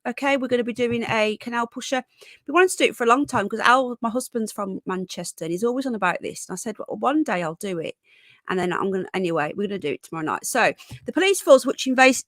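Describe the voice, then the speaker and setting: A middle-aged British woman with a croaky, congested voice from a cold, hosting a casual live stream where she reads news aloud and chats to viewers. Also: a little high, no room sound. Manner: chatty, reads chat comments aloud and greets viewers.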